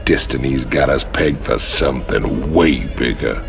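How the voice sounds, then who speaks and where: A swaggering outlaw marine from a sci-fi game. His voice is extremely deep and gravelly, with a slow drawl. He teases like a cocky old buddy over faint music. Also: exaggerated voice. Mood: teasing, cocky. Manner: drawling, teasing. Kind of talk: video game cutscene acting.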